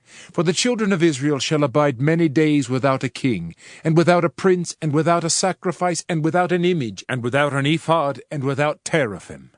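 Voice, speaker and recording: A man playing a solemn Old Testament prophet, reciting scripture in a measured, declamatory way. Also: a little high, no echo, clean studio sound, natural voice. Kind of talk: dramatized King James scripture narration. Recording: music under the voice. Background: music.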